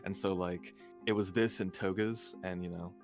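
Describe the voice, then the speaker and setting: A man's voice: low-pitched, steady tone, moderate pace, faint music behind. A man chatting casually as a guest on a stream, easygoing and a little hesitant in how he talks. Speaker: stream guest, ASMR creator and artist.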